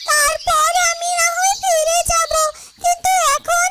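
Little cartoon bird, extremely high childlike pitch, cautious, slow and deliberate, music behind. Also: female voice, flat delivery. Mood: cautious, hopeful. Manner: slow, deliberate, thinking aloud. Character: small cautious yellow bird, talking to itself. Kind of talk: Bengali cartoon fairytale dialogue.

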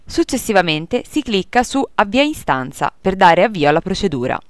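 A woman's voice: medium pitch, big pitch swings, moderate pace, no room sound, clean studio sound. A woman reading a scripted instructional tutorial as narrator in a formal, procedural manner.

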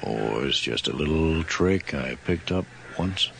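A man's voice: animated, unhurried, a bit bright, a little hiss or hum, heavily acted. An actor playing a sinister old railroad man in a radio horror drama, with a folksy rustic drawl and sly, bargaining manner. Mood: folksy, unbothered. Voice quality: very deep, gravelly.

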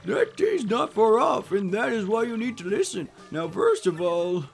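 A cranky old elder of a tiny creature tribe, acted by a woman, scolds in a creaky voice that swings widely in pitch. The bossy game character speaks over music. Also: big pitch swings, normal speed. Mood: scolding. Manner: scolding, domineering.

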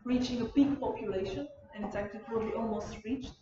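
A young woman from Bulgaria giving an informal lecture in a halting, rephrasing, digressive way. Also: a little high, steady tone. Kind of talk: informal lecture and discussion of questions. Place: university lecture room. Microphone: far camera. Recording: distant mic, muffled, echoey room, loud noise.

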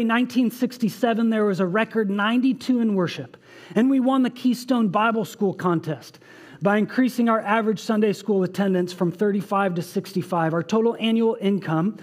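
A man in his thirties delivers a sermon from a church stage in a fairly high voice. He speaks earnestly, reading a passage aloud and breaking off for casual asides. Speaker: pastor. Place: church stage with band behind.

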